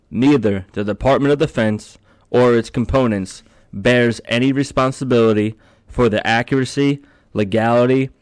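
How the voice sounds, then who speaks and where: Guy reading a legal disclaimer, slow and formal, dry sound. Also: mid-range voice, no echo. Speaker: disclaimer announcer. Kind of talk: scripted read disclaimer.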